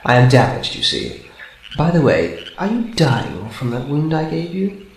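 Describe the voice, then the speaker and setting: A man's voice: mid-range voice, sing-song, unhurried. A man voicing a sadistic, taunting robot hunter in an amateur radio drama, needling with mock sweetness. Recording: roomy sound with faint noise.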